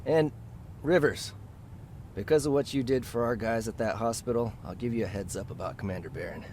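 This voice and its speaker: A man playing a brisk, helpful resistance officer in a video game, speaking in a businesslike way. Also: mid-range voice, darkish tone, slight background noise, close to an everyday voice. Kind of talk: video game dramatic acting.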